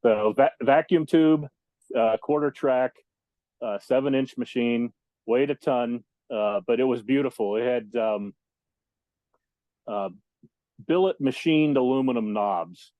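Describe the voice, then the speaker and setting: A man in his fifties reminiscing casually as an interview guest, halting and detail-minded, over a dull, lo-fi connection. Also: mid-range voice, dry recording. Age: 50s. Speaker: interview guest, audio electronics technician.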